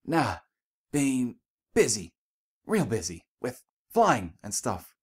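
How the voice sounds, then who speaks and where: A man's voice: mid-range voice, sing-song, slow, breathy edge, voice pushed up, full character voice. A man voicing a brash, guarded, tomboyish female pegasus in a storybook reading, clipped and slangy. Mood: evasive.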